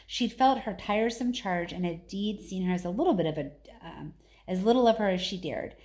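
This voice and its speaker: Female storybook narrator, mid-pitched, expressive read-aloud delivery of a children's novel. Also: brisk. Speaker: story reader.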